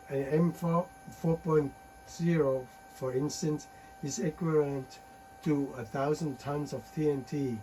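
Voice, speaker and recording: A man giving a technical conference talk from his slides, halting often with uh and restarting words. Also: fairly high pitch, mellow, slight background noise, poor audio. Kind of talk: technical presentation, reading from slides.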